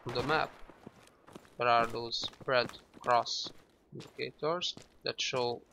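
A man with a gravelly, mid-pitched voice walks through a shooter game at an unhurried pace. He explains things patiently, starting sentences with "well" and pausing on "uh". Loud gunfire from the game plays behind him. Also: a little hiss or hum. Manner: relaxed, explanatory, with frequent "well" and "uh" restarts. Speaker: gameplay tutorial narrator. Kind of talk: casual instructional commentary over gameplay. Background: game gunfire and shooting sounds.

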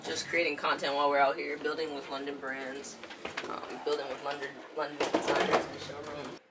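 A woman's voice: sits low, level tone, brisk. A young American woman chatting casually and slangily to a handheld camera as she vlogs. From United States, Michigan. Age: young adult. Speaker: vlogger. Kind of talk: casual vlog chat. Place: on the move in city streets, eateries, lobby. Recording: noisy city ambience, traffic and crowds.

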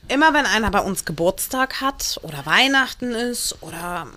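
A woman's voice: fairly high pitch, expressive, normal speed, pushed and bright. A woman falconer telling a casual anecdote.

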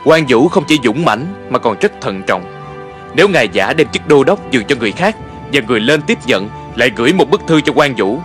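A man's voice: medium pitch, a bit bright. A man playing a clever, confident young officer in a dubbed historical cartoon, polite and persuasive.

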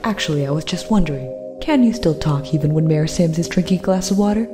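A woman playing a sharp, defiant defense attorney, arguing formally in a comic-book courtroom audio drama. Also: low voice, fast talker, lightly acted. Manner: formal, argumentative. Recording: music behind the voice.

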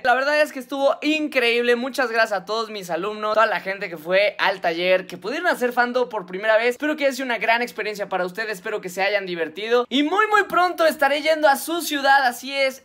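A young man hosting and coaching in a casual, energetic, exclamatory creator style. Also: very high voice. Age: young adult. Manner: animated, exclamatory. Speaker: host and dubbing workshop teacher. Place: small indoor rooms.

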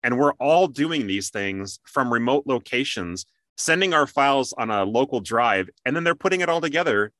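A man's voice: mid-range voice, expressive, normal speed, sharp tone, no echo, clean studio sound. A man hosting a casual interview in an enthusiastic, conversational way. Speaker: interview host.

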